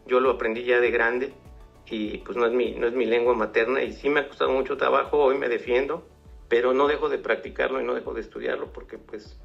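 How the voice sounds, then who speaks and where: A middle-aged man, a guest giving measured interview answers and often repeating words. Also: mid-range voice, vocal fry. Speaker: guest, finance executive. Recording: slightly dull radio audio, faint music.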